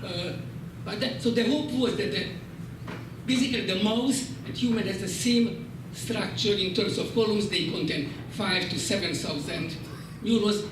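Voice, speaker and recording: A man with a Hungarian accent asking a long, winding question at a conference. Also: high voice. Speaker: audience questioner. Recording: echoey hall, distant mic, background noise.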